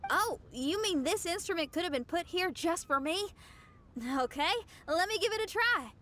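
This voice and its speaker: Casting: adventurous young musician for a video game, high and animated, upbeat, breezy delivery. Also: female voice, normal speed, slight background noise, heavily acted. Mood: eager. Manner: breezy, enthusiastic.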